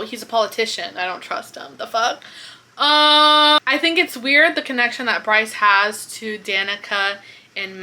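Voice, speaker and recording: Woman doing casual book reactions, highish bright voice, sweary and expressive. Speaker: book reviewer vlogger. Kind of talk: casual reaction chat to camera.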